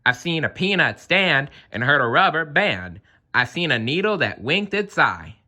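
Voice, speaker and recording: A man's voice: a little high, sing-song, normal speed, pushed and bright, voice pushed up, full character voice. A man voicing a brash, mocking storybook crow, exclaiming and teasing in a sing-song way. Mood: mocking, amazed. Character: jeering cigar-smoking male crows, brash and mocking.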